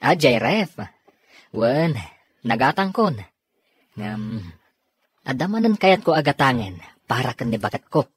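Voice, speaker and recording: A man playing an easygoing married man in a radio comedy drama, with a husky voice. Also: medium pitch, very animated, a little slow, pauses often, no room sound. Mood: chatty, amiable. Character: married man, easygoing husband and brother-in-law.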